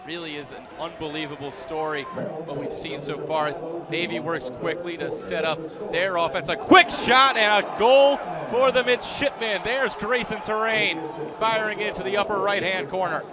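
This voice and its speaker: A young American man calling a live lacrosse game as play-by-play announcer, with an energetic announcer's delivery. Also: sits high, normal speed. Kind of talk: live lacrosse sports commentary. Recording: live game, loud crowd noise behind. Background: crowd and outdoor stadium ambience.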